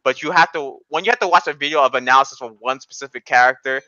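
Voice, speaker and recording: A man's voice: mid-range voice, racing, sharp tone, no echo, lo-fi sound. A man casually debating anime in an argumentative, insistent way, often repeating his points.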